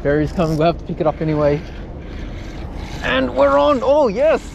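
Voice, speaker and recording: A young man commentating his own fishing live from an outdoor wharf at night, chatty and loudly excited when fish bite. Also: high-pitched, normal speed, gravelly, lo-fi sound. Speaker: fishing vlogger. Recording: outdoor night recording with background noise.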